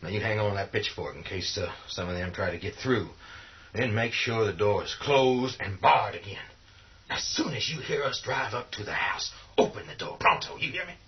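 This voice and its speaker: An actor as a deep-voiced, blunt survivor leader. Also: male voice, animated, moderate pace, close to an everyday voice. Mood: urgent, commanding. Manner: blunt, gives clipped urgent orders. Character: commanding, hard-headed man leading zombie siege survivors. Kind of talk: radio horror drama acting. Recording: dull lo-fi sound.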